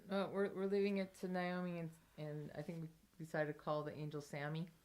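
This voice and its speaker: A woman playing a tabletop game, talking casually and pausing to think. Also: sits low, flat delivery, a little mellow. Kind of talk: casual chat.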